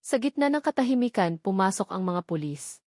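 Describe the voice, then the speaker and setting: A woman's voice: mid-range voice, sing-song, a little fast, a little mellow, no room sound. Filipino woman narrating romance, dry sound. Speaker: story narrator. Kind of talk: dramatic scripted narration of a romance story.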